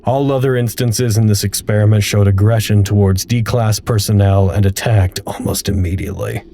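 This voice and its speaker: An actor playing a detached research log narrator, reading formal reports in a precise, impersonal way for an audio drama. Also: male voice, lowish voice, steady tone, normal speed, mellow, natural voice. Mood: flat clinical.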